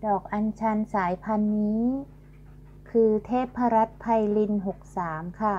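A woman's voice: mid-range voice, little pitch movement, unhurried, dark tone. A middle-aged woman hosting a garden channel, politely explaining flowers as she films outdoors with a handheld phone. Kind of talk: casual garden tour narration. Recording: dull lo-fi sound with faint hum.

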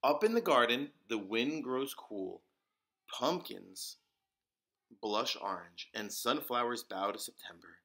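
A man reading a children's picture book aloud as a storyteller, with deliberate, dramatic emphasis. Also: medium pitch, sing-song, gravelly, fairly bright tone. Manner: deliberate, dramatic emphasis with occasional whispers and exclamations.

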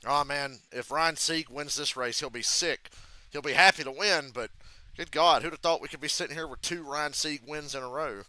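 A man calling a stock car race live for his viewers, chatty and running through the action as it happens. His voice sounds bright and forceful, with a slight hoarseness left over from a cold. Now and then he breaks into sarcastic jabs at the broadcast. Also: on the high side, moderate pace, no room sound, poor audio. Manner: running play-by-play, occasional sarcastic outbursts. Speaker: live race reaction streamer. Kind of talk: casual live race commentary.

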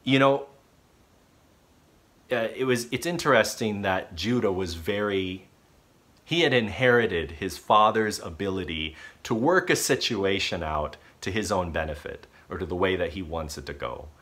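A man in his thirties preaching a sermon from a small living room at home, talking straight to a close camera and telling the story with lively gestures. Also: low-pitched, normal speed. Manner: animated storytelling with expressive gestures. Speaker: pastor.

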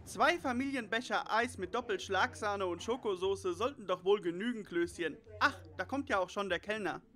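A man's voice: high voice, normal speed, sharp tone. A man playing a prissy, nerdy, know-it-all teenage boy in a parody audio play. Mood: earnest.

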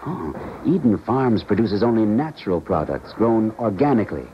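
Male scientist, low pitch, cultured, exact diction, vintage radio drama, dull recording. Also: sing-song, moderate pace. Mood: assured, precise. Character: cultured, uneasy male scientist helping a colleague defect. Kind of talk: 1970s American radio drama acting. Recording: muffled 1970s radio recording, faint noise.

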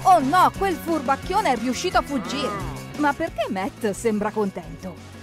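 A woman narrating a preschool cartoon in an expressive, exclamatory way, speaking to the characters. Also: high voice, unhurried. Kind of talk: lively scripted narration for preschoolers, talking to the characters. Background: background music.

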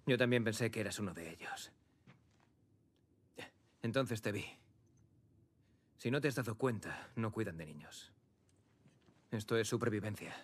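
Low-voiced young Castilian survivor. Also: male voice, measured pace, pauses often. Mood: reassuring. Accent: Castilian Spanish. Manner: casual, streetwise, colloquial. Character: young male survivor, protective, easygoing older brother. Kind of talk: video game dub acting.

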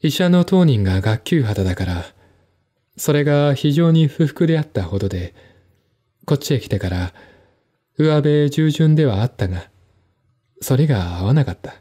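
A man playing a composed, articulate man in a one-man audio drama, explaining things in a measured, reflective way. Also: mid-range voice, lively intonation, mellow, lightly acted. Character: composed, articulate man recounting his stepmother's death.

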